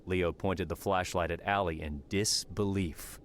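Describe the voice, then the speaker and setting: Very deep-voiced male audiobook narrator. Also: steady tone, measured pace. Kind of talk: scripted story narration. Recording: clearly noisy background.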